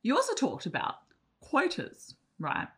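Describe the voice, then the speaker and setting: A woman co-hosting a casual discussion, chatty and quick to laugh. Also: high voice. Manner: chatty, laughs easily, lively swings in tone. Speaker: podcast co-host.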